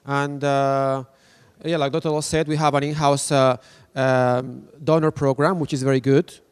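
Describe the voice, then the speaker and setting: A man's voice: medium pitch, flat delivery, moderate pace, clear, resonant tone. A man, a clinic's international coordinator, speaking hesitantly at a conference with many fillers. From Spain. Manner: hesitant, many uh fillers, non-native phrasing. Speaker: international team member. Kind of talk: conference presentation. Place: conference room.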